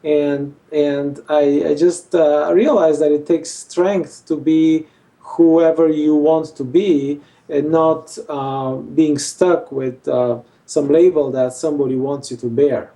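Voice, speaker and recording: Gravelly surgeon, distant mic. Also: male voice, fairly high pitch, fairly even intonation, slow pace, mellow. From Bulgaria. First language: Armenian. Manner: hesitant, many fillers and restarts. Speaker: facial plastic surgeon. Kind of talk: interview answers.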